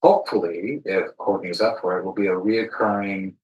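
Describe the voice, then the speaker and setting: A man's voice: deep voice, steady tone, moderate pace, a little mellow, highs cut off. An American man hosting an informal podcast conversation in a self-deprecating, jokey way. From Virginia, United States. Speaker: podcast host. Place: alternative studio space, indoors. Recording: echoey room.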